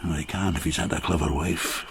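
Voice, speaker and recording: An actor playing a widowed peasant farmer, boisterous and fond of drink, who rambles jovially and turns reflective in a radio drama. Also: male voice, sits low, steady tone, brisk, background noise. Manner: jovial, rambling, slightly tipsy. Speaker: Takeshi, a farmer friend.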